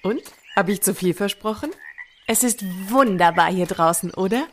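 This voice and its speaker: A woman playing a patient, practical good fairy with gentle scepticism in a fairy-tale radio play. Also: medium pitch, expressive, moderate pace, a little hiss or hum, close to an everyday voice. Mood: encouraging. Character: sensible, patient good fairy companion to a princess.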